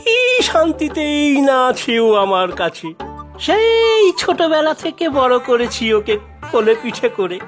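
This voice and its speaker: A woman's voice: high-pitched, sing-song, slow, faint music behind, exaggerated voice. Woman voicing a brazen, scornful male thief, wildly swooping. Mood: mocking, boastful. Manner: theatrical, sarcastic, swooping delivery. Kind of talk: animated cartoon dialogue.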